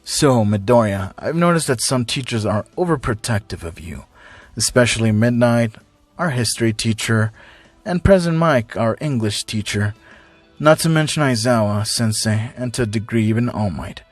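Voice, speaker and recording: A man voicing a cool, blunt, curious teenage boy hero student in a story, quiet and deadpan. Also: mid-range voice, normal speed, no room sound, soft background music, pitched below the performer's own voice, natural voice. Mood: cool, probing.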